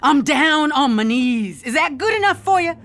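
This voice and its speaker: Middle-aged mother, American accent, radio drama, animated higher voice, protective, proud, agitated. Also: normal speed. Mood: sarcastic, wounded. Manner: agitated, repeats herself. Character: protective, exasperated mother, proud struggling younger sister. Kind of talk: naturalistic radio play acting.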